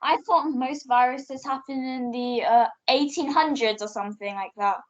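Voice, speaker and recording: A woman's voice: high-pitched, normal speed, natural voice. A young woman playing a curious, cheeky daughter in an amateur radio play. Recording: dull lo-fi home recording.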